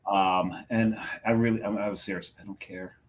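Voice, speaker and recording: A man's voice: lowish voice, level tone, moderate pace. A man co-hosting a beer show, cracking jokes and laughing often in casual banter. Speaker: show co-host. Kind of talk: casual podcast chat.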